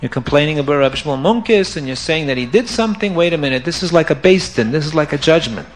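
A calm, wise old rabbi speaks softly and slowly, weighing a quarrel with patience, as a man puts him on. His tone stays gentle and unhurried. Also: on the high side, a little hiss or hum, lightly acted. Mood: soothing. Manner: soft, soothing, measured.

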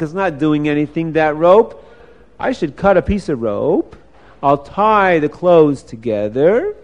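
A man voicing a naive, excitable little boy in a moral tale, exclaiming and explaining himself innocently. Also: high voice, muffled recording. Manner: exclaiming, innocent, self-justifying.

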